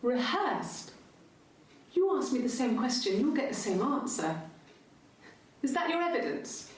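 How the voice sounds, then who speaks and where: An actress playing a defensive, sharp-tongued woman under police questioning, answering in guarded, clipped phrases in a naturalistic style. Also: high voice, sing-song, measured pace. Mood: indignant, defiant. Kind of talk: naturalistic recorded interrogation acting. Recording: echoey, distant, noisy interview-room tape.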